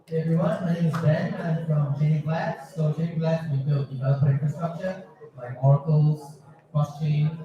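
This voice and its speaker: A man's voice: on the high side, steady tone, normal speed. A man casually introducing himself as a panelist at an event. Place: event hall panel with audience. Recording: echoey hall, distant, dull, crowd murmur.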